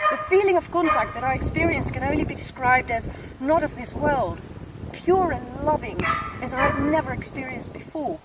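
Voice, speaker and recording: A woman with a Dutch accent reading aloud from her own book in an earnest, measured way, outdoors in a snowy field. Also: high-pitched. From Netherlands. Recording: distant mic, outdoor noise.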